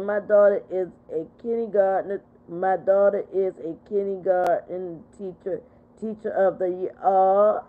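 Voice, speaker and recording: A woman in her fifties hosting a casual livestream chat, reading out viewer comments and answering them in an animated way. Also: medium pitch. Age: 50s. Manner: animated, talks back to viewers. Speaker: livestream host. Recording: phone-like narrow sound.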